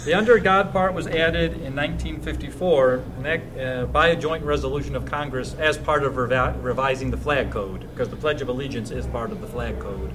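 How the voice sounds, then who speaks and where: A man's voice: mid-range voice, fairly quick, hardly any pauses, background noise. A man hosting a casual comedic chat, lecturing as a mock teacher and laughing at his own jokes. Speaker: host playing teacher in a trivia game.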